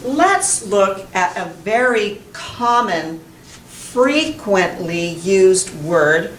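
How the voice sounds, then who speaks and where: A middle-aged American woman giving a pronunciation lesson to camera in a deliberate, emphatic, carefully enunciated way. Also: medium pitch, big pitch swings, slight background noise. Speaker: English pronunciation teacher. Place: indoor classroom in front of a whiteboard.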